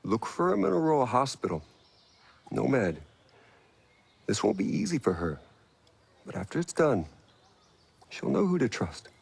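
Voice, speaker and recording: A man's voice: mid-range voice, very animated, unhurried, vocal fry, pauses often, slightly rough, exaggerated voice. An actor playing a brilliant male engineer in a video game cutscene, earnest and pressing as he explains. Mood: urgent.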